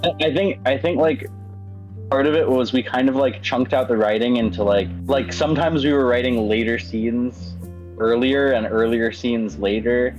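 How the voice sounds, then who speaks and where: A man's voice: medium pitch, normal speed. A man who writes games, chatting casually in an interview, hesitant and rambling with frequent stammers. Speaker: game writer. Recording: slightly dull lo-fi mic. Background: background music.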